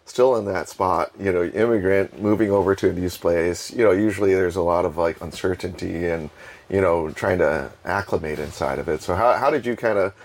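A man hosting a podcast, asking loose, rambling questions full of fillers and quick agreement. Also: deep voice. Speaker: podcast host. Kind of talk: casual interview chat.

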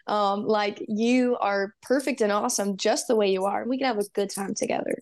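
A woman's voice: medium pitch, fairly even intonation, moderate pace, darkish tone. A young woman from Texas, a musician guest talking earnestly and upbeat, with many "um" and "you know". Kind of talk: casual interview.

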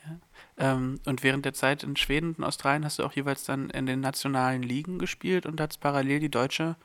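An interviewer poses questions in a relaxed, conversational way. The delivery is halting, with stumbles and fillers before getting to the point. The voice sits at a middling pitch. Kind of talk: casual interview.

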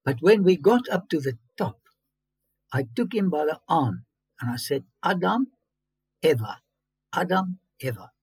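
A fairly high-voiced man, raspy and gravelly, recounting his own story in English with sudden emphatic bursts. His speech halts and restarts as he goes. The sound is dry and close, with no room around it. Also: lively intonation, a little slow, long pauses, a little mellow, no echo. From South Africa. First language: Afrikaans. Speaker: interview guest.

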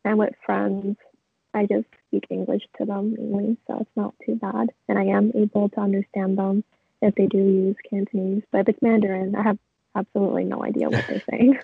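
A woman's voice: medium pitch, level tone, a little fast, gravelly, slightly rough, no echo. A young woman, a podcast guest, chatting casually and hesitantly with many fillers. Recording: tinny phone-like line.

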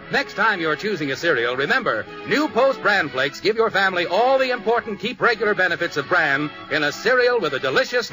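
Music plays clearly behind a man announcing in 1950s radio style. His voice is notably high for a man and very animated, delivering scripted narration and sponsor copy. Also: rough recording.

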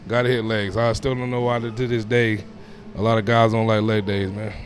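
A man's voice: on the low side, brisk. A man in his thirties talking casually as a personal trainer being interviewed, informal, often dropping in "man" and "you know". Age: 30s. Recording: clear steady background noise.

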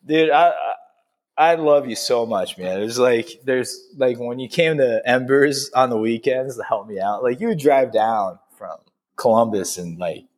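A man's voice: medium pitch, unhurried. A chef in his thirties chatting casually over drinks, rambling with lots of fillers and laughing often. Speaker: chef and restaurant owner. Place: in a restaurant.

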